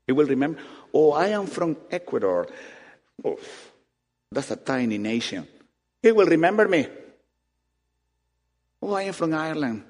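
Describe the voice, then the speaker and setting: A man with preaches in a hall with a long, ringing reverb. His voice sits a little high for a man and leaps up and down with animated, emphatic swings. He drops to soft asides, then climbs to ringing peaks. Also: moderate pace, pauses often. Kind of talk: sermon. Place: church hall.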